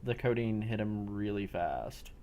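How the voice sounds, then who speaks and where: Gravelly low-voiced man running a game. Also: animated, measured pace, warm tone, slight background noise. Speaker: game master. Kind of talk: improvised narration of a tabletop-style role-play game.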